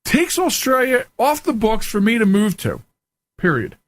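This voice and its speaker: A man's voice: extremely high pitch, wildly swooping pitch, measured pace, no room sound. A man hosting a radio show, talking casually and informally in a folksy, conversational way as he gives his commentary.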